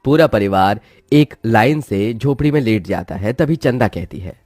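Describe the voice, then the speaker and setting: Male narrator, low register, scripted storytelling, dry recording with a music bed. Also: animated, normal speed, a little mellow, no room sound. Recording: music behind the voice.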